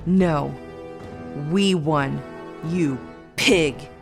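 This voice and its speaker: A woman's voice: low voice, very slow, vocal fry, loud music behind the voice, exaggerated voice. An actress playing a defiant, frightened young woman on the run in an audio drama, dramatic and emphatic. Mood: cold contempt.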